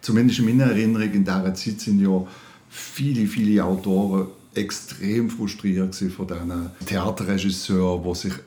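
Deep-voiced older male interviewer. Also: fairly even intonation, moderate pace, warm tone. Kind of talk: conversational interview. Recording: echoey room sound.

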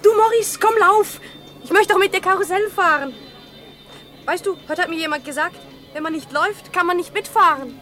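An actress playing a lively, wheedling young sweetheart in a 1960s German radio play, with a girlish, chattering manner. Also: extremely high pitch, normal speed. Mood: excited. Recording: 1960s radio recording, music behind.

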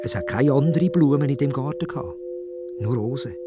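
A man with a Swiss German accent telling a children's story in dialect, expressive and playful. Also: mid-range voice, a little fast, over loud music.

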